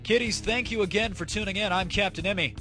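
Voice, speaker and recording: A man's voice: high-pitched, fast. A man hosting a comedy audio show, talking casually to listeners in a teasing, mock-formal way. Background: background music.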